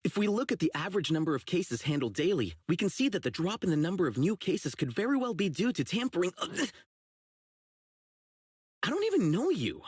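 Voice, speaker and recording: Earnest young cop for a video game, blunt and clueless, pitch all over the place, kinda husky, super dry studio sound. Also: male voice, big pitch swings, brisk, dry recording, heavily acted. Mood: puzzled, indignant. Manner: blunt, earnest, literal-minded. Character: earnest, blunt young male police officer, socially oblivious. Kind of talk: acted video game voice lines. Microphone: close studio mic, isolated dry voice track.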